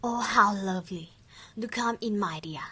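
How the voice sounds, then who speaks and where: A woman's voice: medium pitch, moderate pace, pushed and bright, a little hiss or hum, close to an everyday voice. A woman playing a sly, hungry wolf who feigns sweetness in an amateur storybook play. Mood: coaxing. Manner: coaxing, mock-friendly.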